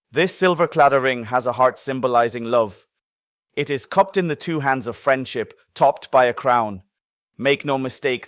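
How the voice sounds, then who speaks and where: A man's voice: mid-range voice, dry recording, clean studio sound, pitched below the performer's own voice, close to an everyday voice. A narrator voicing a proud, silver-tongued Irish bushranger man in an audiobook story. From Ireland.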